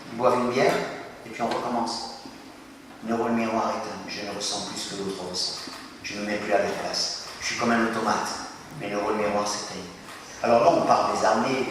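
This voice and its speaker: Male speaker for school talk, animated and questioning, distant noisy hall recording. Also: medium pitch. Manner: animated, questions the audience, acts out little scenes. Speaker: speaker addressing students. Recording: echoey hall from far off, noisy.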